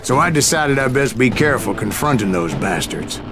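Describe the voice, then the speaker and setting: A man's voice: medium pitch, lively intonation, close to an everyday voice. Man playing a folksy Old West gunslinger, music blaring. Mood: wry, boastful. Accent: American Western drawl. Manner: folksy, wry, unhurried storytelling. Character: grizzled older male Wild West bounty hunter, boastful storyteller. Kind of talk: dramatic first-person game narration. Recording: loud music under the voice.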